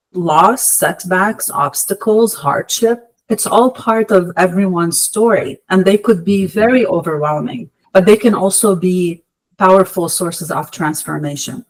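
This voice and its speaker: Female podcast guest from Lebanon: measured, articulate, motivational, emphatic phrasing. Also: fairly low pitch, low-quality recording. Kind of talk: prepared motivational interview answers.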